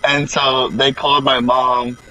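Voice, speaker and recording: A man's voice: medium pitch, flat delivery, measured pace, sharp tone, slight background noise, rough recording. A young man telling a rambling, slangy, profane story casually to his friends.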